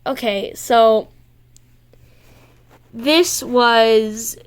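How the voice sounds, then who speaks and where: High-voiced woman reading fiction aloud. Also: very slow, clear, resonant tone. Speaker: amateur fan fiction narrator. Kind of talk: scripted story narration.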